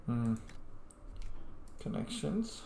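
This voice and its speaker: A man's voice: fairly high pitch, very animated, very slow, gravelly, mellow, reverberant. A man doing casual let's-play commentary as a gaming host, playful and informal, thinking aloud with some swearing.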